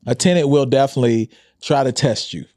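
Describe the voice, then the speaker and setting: A man's voice: medium pitch, quick pace, a little mellow, no room sound, clean studio sound. An American man co-hosting a podcast, chatting casually in slang with a teasing manner. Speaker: podcast co-host. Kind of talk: casual banter.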